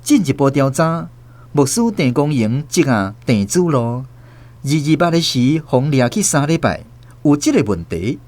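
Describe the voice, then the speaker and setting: A man's voice: medium pitch, lively intonation, unhurried, a little mellow. A man with a Taiwanese accent narrating a radio drama as a scripted, dramatic storyteller.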